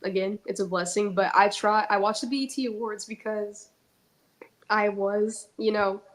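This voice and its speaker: A young woman talking with a blocked, stuffy nose, chatty and joking, full of casual fillers. Her pitch sits mid-range for a woman. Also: level tone, normal speed. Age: young adult. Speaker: guest, actress. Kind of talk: casual chat.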